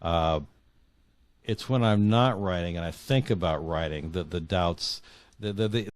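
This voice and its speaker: A man's voice: low-pitched, a little slow, no echo. A middle-aged or older man talking reflectively as an interview guest, often repeating words as he searches for phrasing.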